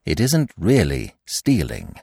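A man's voice: low-pitched, wildly swooping pitch, slow pace, creaky, warm tone, breathy edge, dry recording, clean studio sound, pitched below the performer's own voice, full character voice. A man reading aloud, voicing a nervous, guilty middle-aged stepfather who stammers and speaks in a hasty, panicky way. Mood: flustered.

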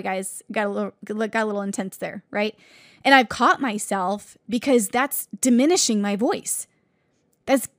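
A woman preaching in an earnest, conversational way that turns fervent as she presses her point. Her voice sits a little high. It is recorded close on the mic, dry, with no room sound. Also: no echo. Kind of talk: sermon.

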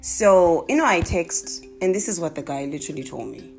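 Animated Zimbabwean woman telling stories. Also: fairly low pitch, normal speed, creaky, faint music behind. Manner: animated, exclaiming, laughing often. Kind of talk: casual comic storytelling to viewers.

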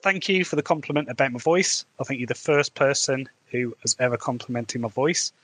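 A man's voice: medium pitch, fairly quick, fairly bright tone. A man with an English Midlands accent, an author hosting his own podcast, talking casually and candidly with a rambling, chatty manner. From England. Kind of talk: casual solo podcast chat.

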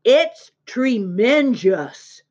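A woman voicing an excitable, bossy young farm boy in a children's storybook, with loud, drawn-out exclamations. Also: mid-range voice, dull audio, lightly acted. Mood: excited, eager.

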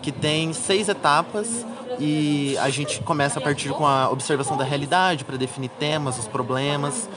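A man's voice: fairly high pitch, moderate pace. A young Brazilian man, a university student, answering interview questions in casual, slangy speech. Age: young adult. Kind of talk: casual chat. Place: university congress with crowd. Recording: busy event crowd behind the voice.

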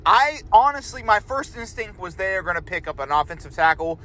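A man's voice: high voice, very animated, a little fast, bright, forceful tone. Rambling man hosting a casual podcast. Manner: rambling, loose, self-correcting. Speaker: podcast host. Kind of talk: casual sports prediction chat.

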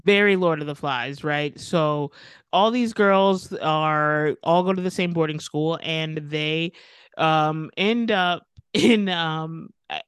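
A woman in her thirties co-hosting a casual podcast, relaxed and slangy, laughing as she talks. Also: low-pitched, takes it slowly, smooth, no echo. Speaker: podcast co-host. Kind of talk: casual chat.

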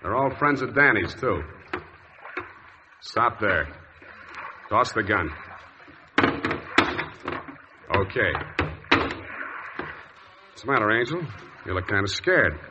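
An actor playing a shrewd, tough, sardonic detective in an old-time radio drama, with clipped, wry talk. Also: male voice, fairly low pitch, sing-song, slow pace, pauses often. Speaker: lead actor and narrator. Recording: dull, faintly noisy old radio recording.

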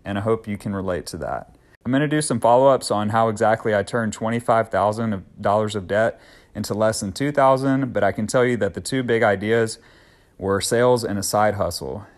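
A man in his thirties hosting a solo podcast, talking in an earnest, upbeat, conversational way with occasional fillers. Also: on the low side. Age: 30s. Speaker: podcast host.

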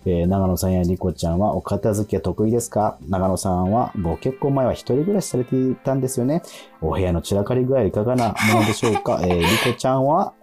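A man co-hosting a radio show, reading out mail and chatting casually plenty of small interjections. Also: fairly low pitch. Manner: chatty, frequent backchannels. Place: remote recording from home.

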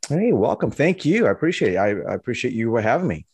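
A man's voice: medium pitch, very animated, fast, darkish tone, slightly rough, dry recording. A middle-aged man from Lebanon, a guest talking casually in an interview, with many restarts and fillers. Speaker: guest, trading educator.

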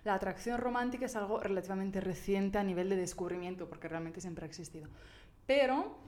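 A woman's voice: medium pitch, brisk, darkish tone. A woman, co-hosting a casual podcast chat. She explains research as she thinks aloud, with many fillers and restarts. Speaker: podcast co-host.

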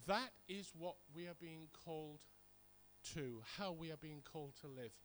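Middle-aged British male preacher, high voice, conversational storyteller who repeats key points with emphasis. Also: normal speed, a bit bright, a little hiss or hum. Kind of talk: sermon with personal anecdotes.

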